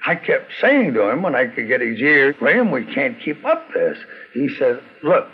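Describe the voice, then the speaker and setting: An older man with a husky voice reminisces in anecdotes, his pitch swinging in a lively way. The sound is thin and phone-like. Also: moderate pace. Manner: animated storytelling, quoting others. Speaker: interviewee, retired radio executive. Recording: thin, phone-like old recording.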